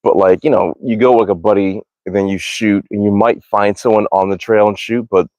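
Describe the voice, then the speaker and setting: A man's voice: on the low side, steady tone, a little fast, darkish tone, no room sound, muffled recording. An American man chatting casually on a livestream, rambling along in an informal, slangy way. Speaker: livestream host, hobby archer. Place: outdoors at a backyard archery range.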